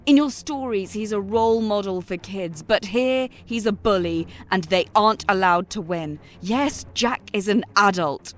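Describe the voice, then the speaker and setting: A woman playing a firm, moralising story character, speaking insistent, measured rhyming lines in an audio drama. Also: medium pitch, close to an everyday voice. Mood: reproachful, pleading. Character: firm, moralising female character confronting her author.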